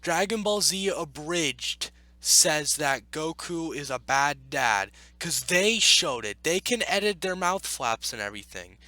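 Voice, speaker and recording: A man with a high, bright voice that swings up and down a lot. He reads and reacts casually, arguing back in an exasperated tone. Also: lively intonation, a little slow, few pauses, dry recording. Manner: exasperated, argumentative. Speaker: YouTube commentator. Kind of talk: casual reaction commentary while reading.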